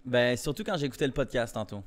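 A man's voice: mid-range voice, expressive, quick pace. A man in his twenties from Guadeloupe, a podcast guest chatting casually and a little hesitantly into a handheld mic.

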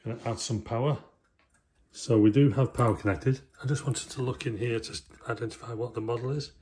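Male demonstrator, medium pitch, casual running commentary while handling gear, noisy recording with clicks. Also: steady tone, brisk, darkish tone. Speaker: host of a hands-on vintage electronics video. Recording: clear background noise with clicking.

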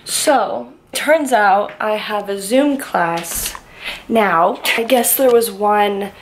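A young woman with a raspy voice chatting in a sleepy, low-key way for her home vlog, filmed on a phone at arm's length in her bedroom. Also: very slow, a little hiss or hum. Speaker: vlogger. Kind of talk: casual vlog chat. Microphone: phone camera held at arm's length.

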